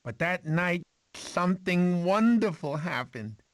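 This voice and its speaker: Goofy, doting sloth with swooping pitch. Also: male voice, animated, takes it slowly, no echo. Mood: sentimental. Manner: rambling, fussy, doting storyteller. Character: goofy, sentimental male sloth uncle telling baby a story. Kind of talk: animated film character narration.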